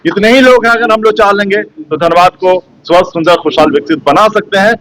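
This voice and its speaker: A man's voice: high voice, fairly quick, fairly bright tone, low-quality recording. A man giving a rousing message at a community event, reciting slogans. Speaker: event speaker. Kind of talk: motivational speech to press.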